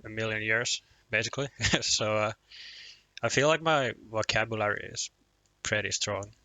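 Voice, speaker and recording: A man's voice: fairly low pitch, normal speed, bright, forceful tone, no room sound, poor audio. A young man from Finland with a Finnish accent and Finnish as his first language, chatting casually on a poker live stream, rambling and self-deprecating with lots of restarts and chuckles.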